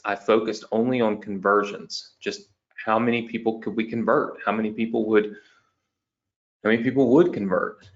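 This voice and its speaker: Guy losing his voice walking thru a business lesson, keeps saying okay? right?, kinda lo-fi sound. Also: lowish voice. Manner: tag questions after each point, explaining step by step. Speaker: online business coach. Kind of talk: whiteboard training lesson.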